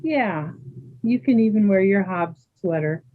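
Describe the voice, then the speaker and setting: A woman playing a calm, kind, sporty Canadian woman in a readers theater dialogue. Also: mid-range voice, a little slow. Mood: friendly, matter-of-fact. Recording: thin phone-like sound.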